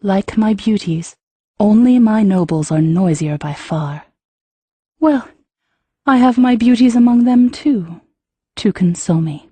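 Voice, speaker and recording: A woman voicing a poised empress who speaks in a formal, measured and dryly ironic way, in a storybook reading. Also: medium pitch, animated, warm tone, husky, no room sound, voice pushed up. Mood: wry, regal. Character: regal, wry empress, poised and cool.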